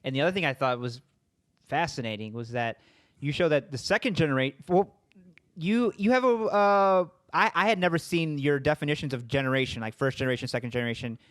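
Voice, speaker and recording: A man's voice: fairly high pitch, brisk, fairly bright tone. A man hosting a podcast interview, talking casually with lots of restarts and fillers. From Puerto Rican family, raised in US. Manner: rambling, many restarts and fillers. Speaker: podcast host.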